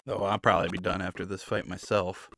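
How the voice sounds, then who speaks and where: A man's voice: low-pitched, level tone, fast talker, vocal fry, bright, forceful tone, slight background noise. A man streaming a video game with casual, laid-back, sweary commentary. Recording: game music behind streamer's voice.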